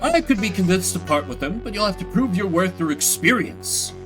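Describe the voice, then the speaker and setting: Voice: mid-range voice, animated, fairly quick, music in the background, voice pushed up, natural voice. A man voicing the formal, theatrical ghost of a bygone merchant who mentors in a video game. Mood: grand, instructive.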